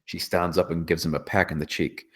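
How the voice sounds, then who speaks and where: A man's voice: low voice, flat delivery, a little fast, a bit bright. A man reading scripted stage directions as the narrator of a screenplay table read.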